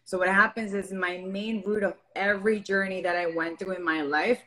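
A woman's voice: mid-range voice, steady tone, normal speed, a bit bright, low-quality recording. A young woman from Venezuela, a fitness coach and guest on a podcast, talking openly and earnestly with many fillers. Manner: open, earnest, filler-heavy. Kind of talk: casual interview chat.

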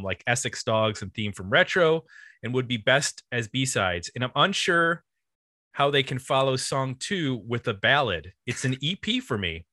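Guy hosting a music podcast, bright voice, dry close sound, casual chat. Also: medium pitch, no room sound. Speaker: podcast host. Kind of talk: casual music discussion, reading out listener comments.

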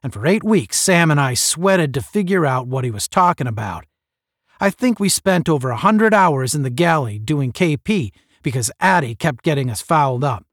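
A man voicing a seasoned male space officer who speaks forcefully and with pride to young cadets. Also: a little high, expressive, moderate pace, no room sound, studio quality, voice pushed up. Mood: earnest, proud. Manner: forceful, proud, instructive.

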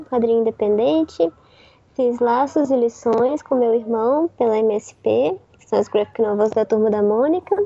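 A woman comics artist introducing herself as a podcast guest, speaking softly. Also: high voice, slightly rough, muffled recording. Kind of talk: casual podcast chat.

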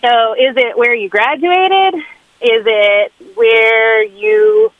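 A woman chatting on a morning radio show, talky and quick to laugh. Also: a little high, expressive, no room sound, phone-quality sound. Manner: chatty, laughs often while telling things. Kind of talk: casual radio chat.

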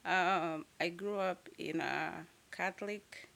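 Gravelly-voiced Kenyan woman. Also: fairly low pitch, slow pace. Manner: relaxed, laughs often while telling her story. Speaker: podcast guest. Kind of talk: casual storytelling interview.

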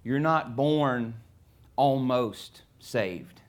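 A middle-aged man from Alabama with a Southern US accent, preaching a sermon in a conversational, halting way with many fillers. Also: medium pitch. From Alabama, USA. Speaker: guest preacher. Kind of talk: sermon with scripture reading.

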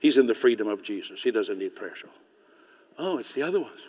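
A man preaching a sermon in English, which is not his first language, swinging from loud rising declarations to soft, chatty asides and often repeating words as he starts a phrase. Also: on the low side, sing-song, fairly quick, long reverb tail.

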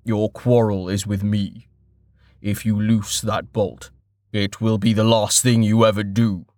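A man voicing a calm, defiant merchant father with a deep, rich, measured baritone in an audiobook. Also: no room sound, studio quality, lightly acted. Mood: defiant, threatening.

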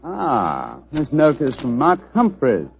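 Witty gentleman detective, English accent, very lively intonation, urbane, precise, vintage radio drama, dull recording. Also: medium pitch, takes it slowly, slight background noise, exaggerated voice. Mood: keen, intrigued. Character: keen, witty, self-assured gentleman detective. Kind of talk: old-time radio drama acting.

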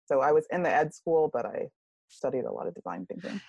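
A woman guest on a podcast interview talking about her career in a relaxed way, with many fillers. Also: low-pitched, fast, creaky, husky, no room sound, highs cut off. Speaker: guest, UX writer. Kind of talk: casual interview.

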